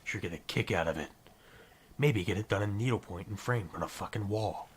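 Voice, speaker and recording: A man playing a gruff, sarcastic, foul-mouthed survivor in an audio drama, with a raspy voice. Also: on the low side, a little fast.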